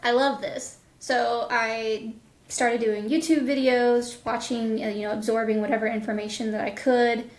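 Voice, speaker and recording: Woman in her 30s, voice a bit high, casual interview guest, halting and thoughtful, echoey room. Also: steady tone. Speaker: guest, voice actor. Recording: echoey, roomy sound.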